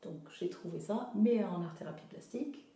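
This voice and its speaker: A woman from Zimbabwe whose first language is English, an art therapist speaking hesitantly in a casual interview, often pausing to search for words. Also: mid-range voice, dark tone, very echoey. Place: studio workshop.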